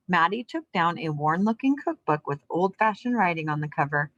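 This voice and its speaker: A woman speaking as an elementary teacher to young children, in simple, clear wording suited to a lesson or storybook read-aloud. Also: on the low side, lively intonation, moderate pace, fairly bright tone, highs cut off. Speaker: elementary physical education teacher.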